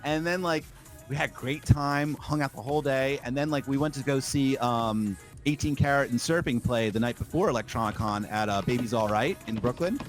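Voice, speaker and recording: A man's voice: mid-range voice, brisk, soft background music. A man chatting casually on a podcast, slipping into an opinionated rant, emphatic and repetitive, with plenty of swearing.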